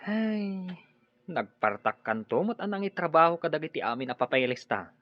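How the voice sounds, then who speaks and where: A man's voice: fairly high pitch, sing-song, normal speed, dry recording. A man playing a reluctant, mildly complaining coworker who dawdles, in an Ilocano radio drama. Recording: dull, lo-fi audio.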